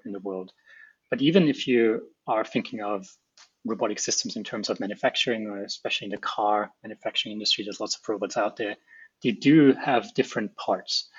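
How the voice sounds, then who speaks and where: Husky middle-aged Austrian man, roomy sound. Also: on the low side, highs cut off. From small Alpine town in Austria. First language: German. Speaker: guest, robotics researcher and company founder. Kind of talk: explanatory interview answers.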